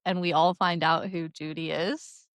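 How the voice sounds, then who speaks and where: A woman's voice: deep voice, flat delivery, a little slow, a bit bright, no echo. A woman hosting a podcast, interviewing a friend in a friendly way and laughing often. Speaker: podcast host. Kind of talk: casual interview.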